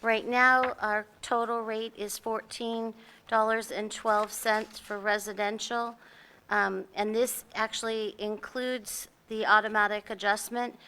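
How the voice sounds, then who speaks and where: Bright, clear woman presenting to council. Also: medium pitch. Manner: explanatory, with frequent fillers. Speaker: town staff presenter. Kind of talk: presenting an agenda item.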